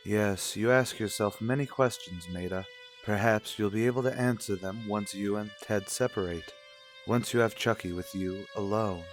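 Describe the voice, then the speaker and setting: A man playing a solemn narrator in old-time radio soap style, measured and dramatic as he addresses the heroine. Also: fairly low pitch, soft background music, natural voice. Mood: solemn, portentous.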